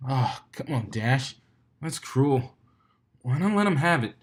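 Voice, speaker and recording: A man's voice: medium pitch, very animated, slow. A man voicing a cocky young male pegasus stunt flyer from a story, with a casual, teasing manner. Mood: casual, coaxing.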